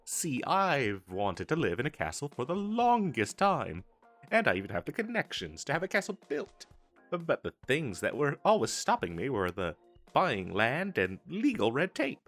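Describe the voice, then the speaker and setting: Guy doing a eager wizard salesman for a fantasy comedy audio, super expressive up and down voice, showy sales patter, bit of music. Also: medium pitch, moderate pace, exaggerated voice. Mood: pitching, enthusiastic. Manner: salesman-like, flustered stammering, dramatic emphasis. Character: eager, boastful male wizard salesman hawking magical wares. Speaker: street vendor character in an audio roleplay.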